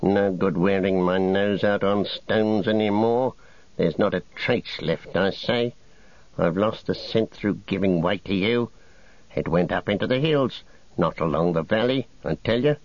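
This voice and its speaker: A man's voice: deep voice, a little slow, darkish tone, no room sound, muffled recording. A man reading a story, voicing a small, quarrelsome male orc tracker who snarls and whines. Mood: grumbling. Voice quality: snarling, nasal.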